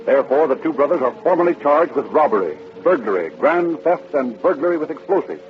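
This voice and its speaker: An actor delivering solemn, authoritative scripted narration for a 1930s-style radio crime drama. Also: male voice, on the high side, big pitch swings, fairly quick. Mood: solemn, dramatic. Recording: dull old radio recording. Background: music.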